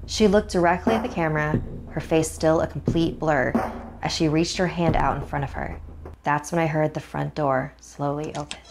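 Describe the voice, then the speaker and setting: A woman reading a first-person horror story in a suspenseful, expressive, dramatic way. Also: low voice, normal speed, gravelly, background noise. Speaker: narrator. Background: music.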